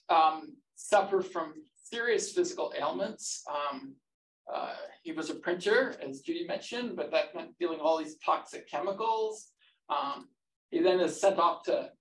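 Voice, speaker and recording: A man answering questions about his book at a roundtable, halting and full of fillers and restarts, in a conference room before an audience. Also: sits high. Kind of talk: academic discussion. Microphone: far room mic. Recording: echoey room, distant mic, faint noise.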